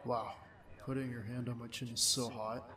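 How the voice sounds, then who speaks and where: A man's voice: medium pitch, level tone, moderate pace, pushed and bright, lightly acted. A man playing a young male field agent, a doting, stubborn, playful boyfriend, in an ASMR roleplay audio drama. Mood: tender, teasing. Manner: affectionate, teasing, protesting.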